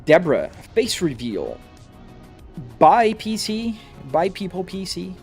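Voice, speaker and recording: A man's voice: high voice, sing-song, takes it slowly, darkish tone, soft background music. A man from Brazil with a Brazilian-American accent, streaming live from his home simulator rig and chatting casually with viewers, answering comments on the fly with frequent restarts. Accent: Brazilian Portuguese mixed with American English.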